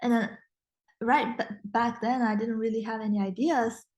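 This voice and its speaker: A woman's voice: fairly high pitch, steady tone, normal speed, a little mellow. A young woman whose first language is Mandarin, a guest in a casual interview, speaking English with frequent restarts and fillers. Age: young adult. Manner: hesitant, many restarts and fillers.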